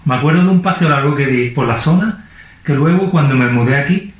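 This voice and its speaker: A man's voice: a little high, a little fast. A man co-hosting a casual chat, retelling listener stories in an easygoing way. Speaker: podcast co-host. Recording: very echoey room.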